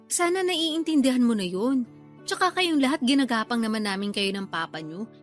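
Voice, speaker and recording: Radio drama role: woman plays pushy, coaxing mother, middle-aged, colloquial, high-pitched, faint music behind. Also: lively intonation, normal speed, natural voice. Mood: resigned, nagging. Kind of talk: Filipino radio drama acting.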